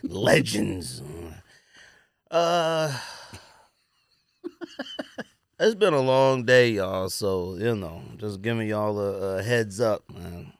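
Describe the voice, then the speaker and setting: A man's voice: lowish voice, animated, very slow, pauses often, no room sound, studio quality. An American man hosting a podcast, chatting casually and jokingly in slangy speech about sports and sneakers. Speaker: podcast host.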